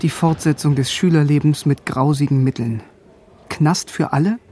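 A man's voice: on the high side, fairly even intonation, moderate pace, dark tone, breathy edge. A man playing a wry, bookish young man who narrates his youth in a radio drama, articulate and self-ironic. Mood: indignant irony. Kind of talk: scripted first-person radio drama narration. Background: music.

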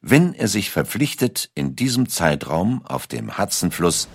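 A man's voice: deep voice, sing-song, a little slow, noisy background. A man reading a scripted documentary narration as its narrator.

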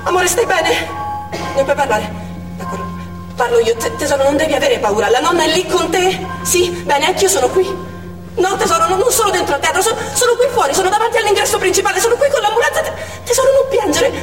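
An anxious, protective doctor and mother, high in pitch, gives a dramatic monologue. The sound is distant and very reverberant, with music behind. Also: animated, quick pace. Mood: anxious soothing. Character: anxious woman ambulance doctor, protective mother outside the siege. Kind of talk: stage monologue, present-tense dramatic narration. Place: theatre stage. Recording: echoey theatre from far off, music behind.

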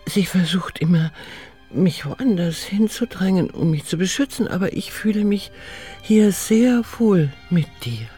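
An actress playing a lonely, tender elderly woman in a radio drama, musing in a hushed, intimate way. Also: lowish voice, lively intonation, measured pace, hardly any pauses, faint music behind. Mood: wistful, tender. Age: older.

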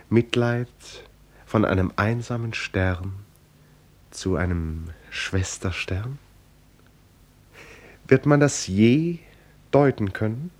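An actor playing a grave, pondering storyteller, narrating a literary radio play in a deliberate, musing manner. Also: male voice, fairly low pitch, darkish tone, exaggerated voice.

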